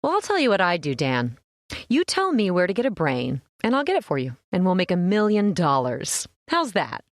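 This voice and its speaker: Woman playing a wry, animated young sceptic. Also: fairly low pitch, moderate pace, no room sound, studio quality. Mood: wry, playful. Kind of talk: old-time radio horror drama acting.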